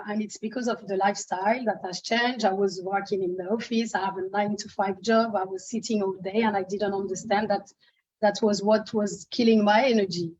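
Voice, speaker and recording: A woman with a French accent and a husky voice introduces herself in an online meeting. She speaks hesitantly, with many pauses and fillers. The audio is dull and muffled, as over a video call. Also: medium pitch, a little mellow. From France. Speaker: Zumba instructor.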